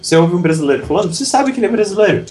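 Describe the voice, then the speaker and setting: A man's voice: high voice, very animated, very fast, darkish tone, a little hiss or hum. A man from southern Brazil, an English teacher, talking reflectively in a casual interview with frequent restarts.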